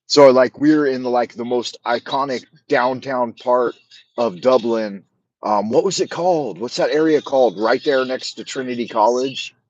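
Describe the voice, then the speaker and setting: A man's voice: medium pitch, normal speed, a little mellow, dry recording. Middle-aged man, casual live-stream chat, stumbling restarts, blunt, lo-fi dry audio. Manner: rambling, frequent restarts and repeated words; blunt, swears freely. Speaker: livestream host.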